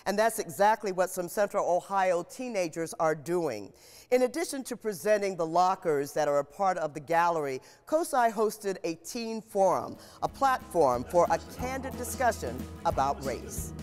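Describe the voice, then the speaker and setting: A woman host reading scripted narration for a local news feature and putting questions to viewers in a measured way. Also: on the low side, lively intonation, a little mellow, soft background music.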